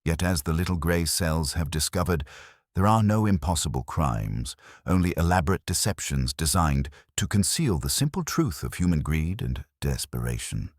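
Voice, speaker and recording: A man voicing a fastidious, supremely certain middle-aged detective, delivering measured and grand pronouncements in a very deep voice. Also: lively intonation, no room sound, clean studio sound, exaggerated voice. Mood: assured.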